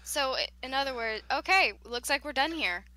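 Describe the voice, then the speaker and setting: High, lively Southern woman. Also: normal speed, low-quality recording. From East Tennessee, US. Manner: excitable and playful. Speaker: player. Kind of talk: casual chat during a tabletop game.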